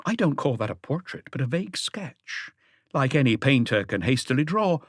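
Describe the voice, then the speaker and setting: A man's voice: medium pitch, very animated, moderate pace, husky, no room sound, clean studio sound, pitched above the performer's own voice. A man voicing an imperious married society woman in an audiobook, formal, curt and coolly defiant. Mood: dismissive.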